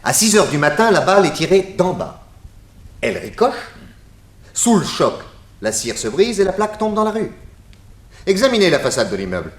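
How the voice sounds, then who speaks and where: A man's voice: high-pitched, very animated, moderate pace, long pauses, reverberant. An actor playing a shrewd, authoritative male master detective in a French radio serial, methodical in his questions and explanations. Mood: confident, emphatic.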